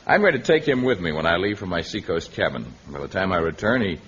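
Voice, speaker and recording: A man's voice: sits low, big pitch swings, fast talker, a bit bright, slight background noise. A man playing a confident, good-humoured jungle hero in an old radio drama. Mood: amused, teasing. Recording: muffled vintage radio recording.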